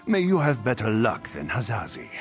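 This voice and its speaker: An actor playing a put-upon, exasperated cat-folk envoy in a video game, who speaks of himself in the third person. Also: male voice, on the low side, huge pitch swings, normal speed. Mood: skeptical, resigned. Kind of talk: video game character acting. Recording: music behind the voice.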